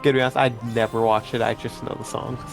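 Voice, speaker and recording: A man's voice: medium pitch, level tone, quick pace, vocal fry, music in the background, low-quality recording. Trinidadian-New York streamer man chatting. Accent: Trinidadian and New York mix. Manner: chatty, laughs often. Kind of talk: casual stream chat.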